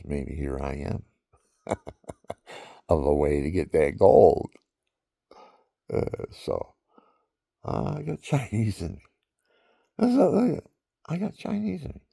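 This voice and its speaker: Man, deep rough voice, unhurried delivery, meandering personal storytelling, repeats himself. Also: mellow, no room sound, studio quality. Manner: meandering, repeats himself, chuckles at his own stories. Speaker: vlogger.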